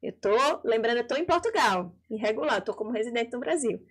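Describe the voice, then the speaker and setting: Female Brazilian co-host, higher-pitched, conversational and didactic, sets up practical cases. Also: lively intonation, a little fast, breathy edge. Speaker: co-host of a live show. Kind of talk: conversational live discussion.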